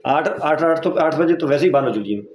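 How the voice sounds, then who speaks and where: A man's voice: on the high side, lively intonation, brisk, darkish tone. A man reporting to a superior in a deferential, hesitant manner, repeating himself as he explains. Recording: distant, dull, echoey, noisy recording.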